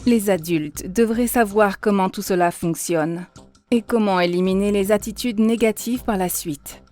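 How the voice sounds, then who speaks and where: A woman voicing an earnest female mentor in a cartoon, lecturing in a measured, instructive way. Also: mid-range voice, darkish tone, natural voice. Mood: earnest, didactic. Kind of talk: dubbed cartoon dialogue, didactic monologue. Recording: music behind the voice.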